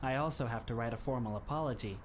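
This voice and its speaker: A man in an anime fan dub playing a polite, composed teenage schoolboy who speaks in formal, measured phrases with a faintly wry touch. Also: mid-range voice, a little hiss or hum, natural voice. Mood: calm, grave.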